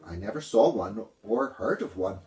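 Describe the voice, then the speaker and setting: A man's voice: fairly low pitch, animated, moderate pace, a little mellow, soft background music, close to an everyday voice. A man voicing a polite, hesitant young girl in a storybook reading. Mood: puzzled. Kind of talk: storybook character voice.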